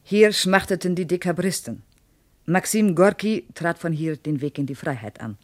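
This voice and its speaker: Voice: mid-range voice, normal speed, close to an everyday voice. A performer playing an earnest, reverent female Soviet tour guide in a radio play, reciting history. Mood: earnest, proud.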